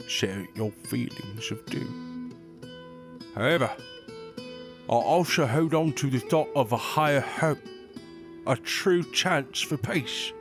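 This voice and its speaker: An actor playing an aged, formal royal advisor in a fantasy audio drama, measured and earnest. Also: male voice, medium pitch. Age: older.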